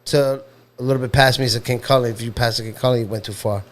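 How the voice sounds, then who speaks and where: A man's voice: mid-range voice, fairly even intonation, fast. A man appearing as a guest food truck owner, easygoing and hesitant with fillers in casual chat.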